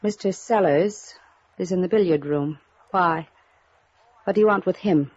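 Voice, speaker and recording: A woman's voice: on the low side, a little slow, a little mellow, breathy edge. A woman acting in a vintage radio noir drama as a haughty, defensive society woman, clipped, imperious and suspicious. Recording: muffled vintage radio recording.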